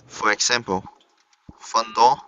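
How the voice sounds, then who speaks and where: Low-voiced man, halting software tutorial, dull audio. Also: fairly even intonation, no room sound. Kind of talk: step-by-step instructional narration. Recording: dull lo-fi computer recording. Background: screen-recorded tutorial voice-over.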